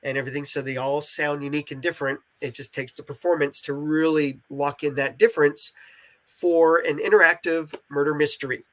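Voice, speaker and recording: A man's voice: fairly high pitch, steady tone, brisk, fairly bright tone. A man with a congested, nasal voice talking enthusiastically and explaining his creative work in a casual interview.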